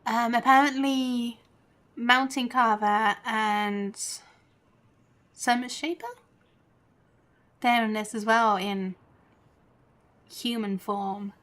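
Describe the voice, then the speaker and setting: High-voiced woman streaming a game. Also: steady tone, takes it slowly, long pauses, fairly bright tone. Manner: giggly, chatty. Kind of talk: casual livestream commentary. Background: game music.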